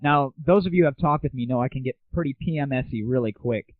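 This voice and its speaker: Male internet show host, casual irreverent ranter, mid-pitched, narrow lo-fi phone-like sound. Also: fast talker, dry recording. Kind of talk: casual solo rant and chat. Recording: muffled phone-like lo-fi 1990s internet audio.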